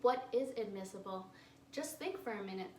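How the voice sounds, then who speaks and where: Picture a flamboyant male drag queen on trial, acted by a woman, pleading his case with defiant passion. The voice sits at an ordinary middle pitch. The whole thing sounds like it was recorded from across an echoey room, with a faint hiss underneath. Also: lively intonation, normal speed, darkish tone, recorded from a distance, close to an everyday voice. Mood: wistful. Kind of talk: theatrical monologue.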